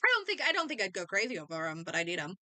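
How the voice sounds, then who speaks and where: A woman's voice: mid-range voice, sing-song, very fast, bright, forceful tone, dry recording. A woman livestreamer chatting casually as she games, reacting and thinking aloud, often doubling back on her words. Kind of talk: casual chat while gaming, reacting aloud.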